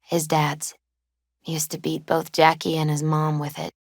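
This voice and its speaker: A gentle young woman in her twenties, speaking as a grieving video game character. Her voice sits fairly low and comes through dry, with no room around it. She is subdued and wistful, hesitating as she talks in a natural, unforced way. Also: completely flat delivery, a little slow, dry recording. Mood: somber, reflective. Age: 20s.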